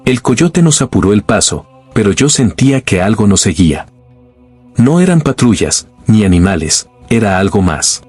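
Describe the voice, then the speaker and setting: A man reading a scripted first-person horror story in a slow, grave, suspenseful way. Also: lowish voice, big pitch swings, darkish tone, slightly rough, no room sound, soft background music. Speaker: narrator.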